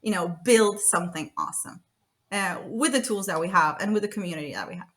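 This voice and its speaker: A woman's voice: on the low side, brisk, a bit bright. A woman in her thirties from Romania, a guest talking casually on a podcast, chatty and full of fillers and restarts. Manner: chatty, frequent fillers and restarts, laughing often. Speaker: guest, data consultant.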